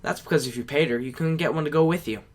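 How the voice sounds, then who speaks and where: A man's voice: fairly high pitch, fast, slight background noise, natural voice. A man playing a brash, teasing young swing-dancing rebel with cocky, slangy banter in an audio drama.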